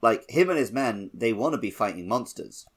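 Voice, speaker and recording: A man's voice: medium pitch, animated, normal speed, breathy edge. A man co-hosting a podcast, casually talking through a video game. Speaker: podcast host.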